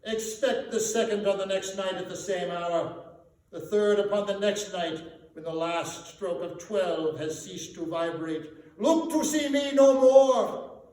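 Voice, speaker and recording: A tormented ghost of a dead miser, mournful and doomed. The delivery is slow, high and theatrical, full of drawn-out moans. It is a live storybook reading, heard from a distance in a very echoey room. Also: male voice, darkish tone. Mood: urgent warning. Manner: moaning, groaning, drawn-out theatrical declaiming. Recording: echoey room, distant mic, faint noise.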